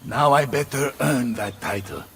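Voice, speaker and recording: A man's voice: medium pitch, a little slow, slight background noise, close to an everyday voice. An actor playing an emotional, devoted husband and nobleman in a video game, earnest and impassioned. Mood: tender. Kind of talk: dramatic video game cutscene acting.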